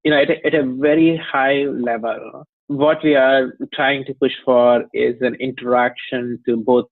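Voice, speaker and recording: A man's voice: medium pitch, fairly even intonation, a little slow, no room sound. A man from India with an Indian accent, a company chief explaining his work at length in an interview, with many "you know"s and light laughs. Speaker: company CEO, guest. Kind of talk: interview conversation. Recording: phone-like dry line.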